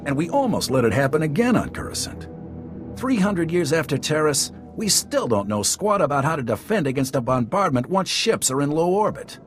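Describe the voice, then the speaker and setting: An actor playing a gruff, plain-spoken army captain in a video game, talking briskly and candidly. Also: male voice, a little high, sing-song, over music. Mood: grave, determined. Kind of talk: scripted video game dialogue.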